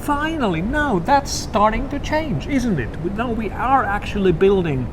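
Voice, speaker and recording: Finnish guy w accent, lively musing interview talk, kinda high voice, street noise. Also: moderate pace. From Finland. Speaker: guest. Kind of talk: conversational interview.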